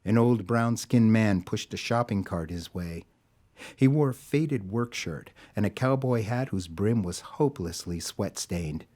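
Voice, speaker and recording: A man's voice: lowish voice, lively intonation, measured pace, darkish tone. A man reading scripted story narration as the narrator of an audio drama.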